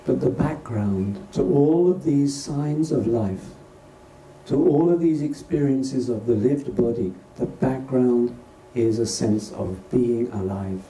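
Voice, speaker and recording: A man leading a guided meditation as a teacher, speaking gently and unhurriedly in short phrases with pauses between them. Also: medium pitch. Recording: distant roomy recording with faint noise.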